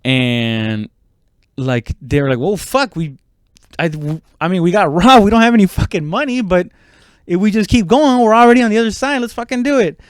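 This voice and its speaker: A man talking in a casual, rambling podcast monologue, halting and conversational, with frequent swearing. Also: sits high, smooth, no echo, clean studio sound. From immigrant, moved abroad at seven. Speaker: stand-up comedian podcast host.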